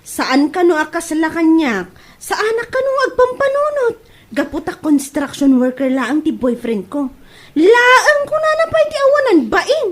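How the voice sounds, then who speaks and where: A woman's voice: very high-pitched, big pitch swings, a little slow, a little hiss or hum, exaggerated voice. A woman playing an indignant, defensive young daughter in an Ilocano comedy drama, complaining emphatically with an Ilocano accent. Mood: aggrieved.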